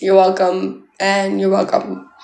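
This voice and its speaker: A woman's voice: mid-range voice, completely flat delivery, measured pace, gravelly, a little mellow, echoey room, poor audio. A young woman from Canada chatting playfully with viewers on a livestream, full of "like" fillers, filmed on a phone held at arm's length indoors. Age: young adult. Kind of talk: casual live chat with viewers. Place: indoors, small room.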